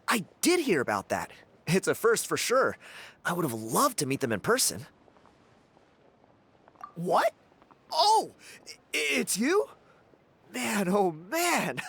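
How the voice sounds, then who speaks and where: Lively, cocky young dancer. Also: male voice, high voice, slow pace, long pauses, breathy edge, full character voice. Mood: delighted, boastful. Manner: breezy, self-assured, chuckling. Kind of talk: video game character acting.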